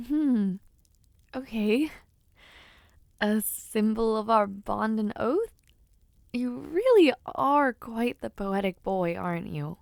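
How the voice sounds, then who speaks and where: A woman playing a young noble debutante, sweet, playful and softly hesitant, in an intimate fantasy audio roleplay. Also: fairly high pitch, gravelly, dry recording, exaggerated voice. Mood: fond teasing. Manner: soft, hesitant, gently teasing.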